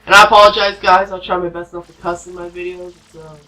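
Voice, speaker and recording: A woman's voice: on the low side, little pitch movement, quick pace, bright, forceful tone, rough recording. A woman giving casual, rambling, unscripted commentary as host of a gameplay video. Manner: rambling, informal.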